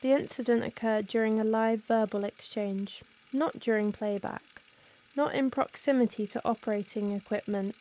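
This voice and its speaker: A woman acting as a precise, rational researcher with a soft, mellow, fairly high voice. She gives formal, restrained testimony in the manner of found-audio horror. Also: steady tone, moderate pace, lightly acted. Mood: controlled, uneasy. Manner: formal, careful, clinical, restrained.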